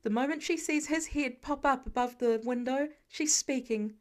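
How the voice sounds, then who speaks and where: A woman reading scripted fiction as an expressive audiobook-style narrator. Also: high-pitched, moderate pace. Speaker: podfic narrator.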